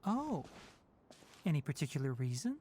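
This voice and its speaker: A woman voicing a knowledgeable, practical young male forest ranger in a video game, with an instructive, gently dry delivery. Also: low voice, sing-song, moderate pace, heavy background noise. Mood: curious. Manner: instructive, matter-of-fact. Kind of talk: English video game voice acting. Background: music.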